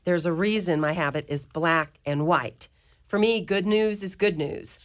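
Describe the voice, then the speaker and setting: A woman's voice: on the low side, a little slow, natural voice. A woman playing a mother superior of a convent orphanage in a community radio play, wry and motherly with a lilting way of talking.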